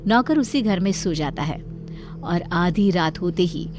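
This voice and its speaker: A woman's voice: on the low side, lively intonation, a little slow. A woman reading scripted story narration as the narrator. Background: music.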